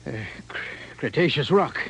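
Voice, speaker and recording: Laconic, wry British gentleman hunter. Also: fairly high pitch, animated, normal speed, breathy edge, exaggerated voice. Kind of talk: radio drama acting.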